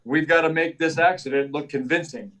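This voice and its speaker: A stalwart superhero of old-time radio, played by a man, speaking in a fairly high male voice a little way from the mic. He is self-assured and declamatory, talking himself through each feat. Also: brisk, a bit bright, distant mic, natural voice. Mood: coolly commanding. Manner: declamatory, self-assured, narrating his own actions. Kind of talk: 1940s radio serial acting.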